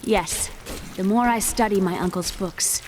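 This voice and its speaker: A woman's voice: medium pitch, a little slow, background noise, close to an everyday voice. An actress playing a studious, earnest young woman in a video game. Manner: thoughtful, earnest.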